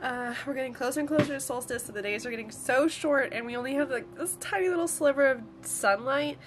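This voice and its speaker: A young woman in her twenties vlogging casually from her cozy wooden home, chatty and upbeat and a little hoarse from a cold. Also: high voice, fast talker, a little hiss or hum. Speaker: vlogger and songwriter. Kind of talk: casual chat to camera. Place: cozy wooden home kitchen and living room.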